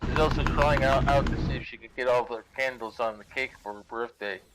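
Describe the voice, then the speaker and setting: A man's voice: medium pitch, fairly quick. A man in his fifties chatting in a wry, teasing way over game voice chat. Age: 50s. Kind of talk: casual voice chat while gaming. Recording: noisy voice chat with typing.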